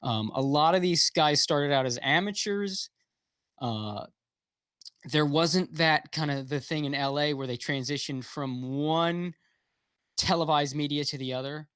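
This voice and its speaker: A man talking in a slightly high voice, blunt and rambling, full of ums and restarts, with an occasional swear. He comes through a video call with a dry sound and almost no room echo. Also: a little slow, a bit bright, smooth. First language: English. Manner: rambling, frequent fillers and restarts, blunt with profanity. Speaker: guest. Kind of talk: casual livestream chat.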